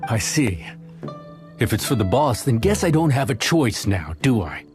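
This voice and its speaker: Intimidating but easily spooked game chef, gruff, mid-pitched, with widely swinging intonation. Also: male voice, sing-song, takes it slowly, faint music behind. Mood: gruff, reluctant. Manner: brusque, faltering when nervous. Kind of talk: expressive video game voice acting.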